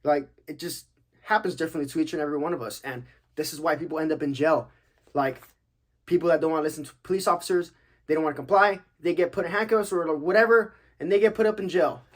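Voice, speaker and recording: A man's voice: a little high, quick pace. A young man from Texas hosting a podcast, answering questions in a laid-back, slangy, joking way with casual swearing. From United States, Texas. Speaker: podcast host, active-duty military.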